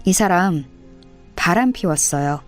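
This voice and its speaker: A woman's voice: on the low side, lively intonation, unhurried. Betrayed wife acted by a female narrator, indignant, emphatic, cutting; radio-story drama with music beneath. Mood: cold resolve. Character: bitter, betrayed wife confronting her in-laws.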